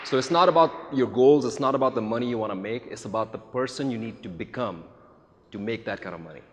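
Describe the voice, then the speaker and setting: A man in his forties from India, giving earnest, somewhat halting answers in an on-stage interview. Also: mid-range voice. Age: 40s. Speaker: real estate agent, guest speaker. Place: large event hall with audience. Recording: echoey hall with faint noise.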